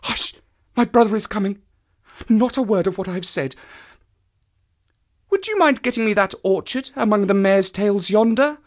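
A narrator voicing a proud, eager young woman in a hushed, urgent storybook manner. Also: expressive, normal speed, long pauses, lightly acted. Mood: guarded, polite. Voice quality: low eager voice with a lisp.

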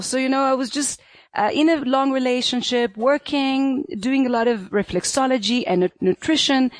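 A woman's voice: medium pitch, no echo. Earnest Israeli-born woman, dry sound. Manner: earnest, searching for words, many fillers. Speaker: guest, pleasure activist. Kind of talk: reflective interview answers.

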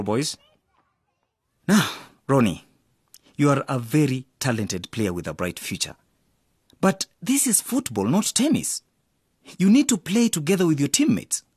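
A man's voice: medium pitch, huge pitch swings, normal speed, pauses often, breathy edge, no echo, studio quality. A man playing a firm, encouraging youth football coach in a radio drama, commanding and instructive. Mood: serious, advising.

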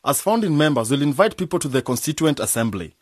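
Husky, methodical cooperative organiser, a man. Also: fairly high pitch, sing-song, fast, dry recording, studio quality. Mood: firm. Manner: methodical, decisive, matter-of-fact. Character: practical, assured male cooperative organiser. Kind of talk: radio drama acting.

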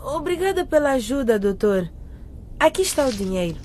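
A woman acting in a radio drama as a gentle young friend who consoles and soothes. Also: high voice, sing-song, fairly quick, a little hiss or hum. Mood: grateful.

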